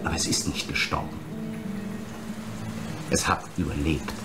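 An actor playing a menacing, brooding film director in a radio drama, an abandoned son who accuses with insistent rhetorical questions. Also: male voice, extremely low pitch, fairly even intonation, a little slow, over loud music. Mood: menacing, bitter.

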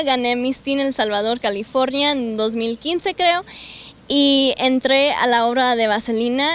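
A woman's voice: sits high, a bit bright. A young woman from Los Angeles, a singer, answering interview questions eagerly outdoors in a park, speaking into a handheld mic. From Los Angeles, United States. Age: young adult. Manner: bubbly, eager, slips in English words. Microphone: handheld mic held by interviewer.

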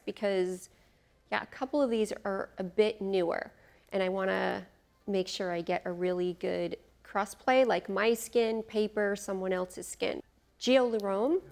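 A woman's voice: medium pitch, darkish tone. A middle-aged woman reviewing perfumes to camera in a studio, chatty and enthusiastic, thinking aloud with fillers and asides, on a lapel mic. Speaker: fragrance reviewer. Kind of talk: casual product review to camera. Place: studio in front of a beach backdrop.

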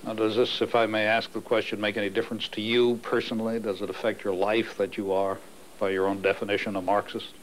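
A man interviewing a student, asking measured, probing questions. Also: fairly low pitch, level tone, slight background noise, poor audio. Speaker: documentary interviewer and narrator.

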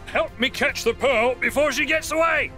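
Roguish eccentric pirate captain from a game, theatrical, high pitched, music behind. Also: male voice, moderate pace, bright, forceful tone. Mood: urgent. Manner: theatrical, swaggering. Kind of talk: video game cutscene acting.

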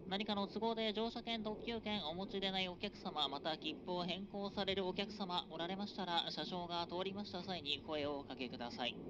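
A man announces stops and connections over a train's PA in a formal, drawn-out cadence. The sound is dull and muffled, as if picked up from a seat. A loud rumble of the moving train sits behind him. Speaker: train conductor. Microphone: train PA system recorded from a passenger seat. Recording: dull, noisy onboard PA recording.

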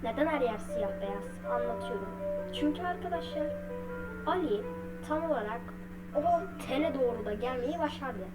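Female video narrator, high voice, halting delivery with filler words, loud music bed throughout. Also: animated, low-quality recording. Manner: halting, broken phrases with repeated filler words. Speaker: video creator narrating a horror theory. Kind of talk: unscripted storytelling commentary to viewers.

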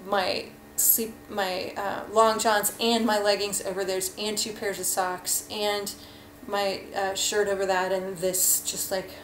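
A woman's voice: medium pitch, flat delivery, slow pace, a little hiss or hum. A woman in her thirties rambling frankly through a casual gear review at home, full of fillers, recorded on a webcam at her desk. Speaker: hiking vlogger. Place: kitchen at home, indoors. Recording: echoey room, faint ambience.

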